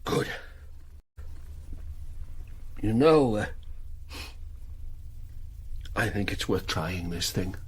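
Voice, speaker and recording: An actor playing a senior German colonel in a radio drama, grave, clipped and insistently persuasive. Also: male voice, lowish voice, expressive, normal speed, a little hiss or hum, natural voice. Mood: earnest, subdued.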